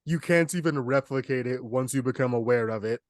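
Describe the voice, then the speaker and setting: A man with an average-pitched voice talks casually as a podcast co-host. His phrasing is measured and wry, sometimes feeling for the word. The sound is very dry, free of room echo. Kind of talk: casual chat, discussing films.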